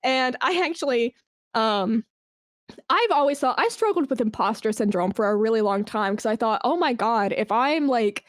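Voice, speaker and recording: A woman speaking as a guest in a casual podcast interview, animated and self-deprecating, with slangy phrasing. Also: fairly high pitch, moderate pace, dry recording, clean studio sound. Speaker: podcast guest, esports brand manager.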